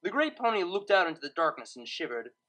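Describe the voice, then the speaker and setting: A woman reading a story aloud as narrator, in expressive scripted narration. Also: fairly low pitch, quick pace.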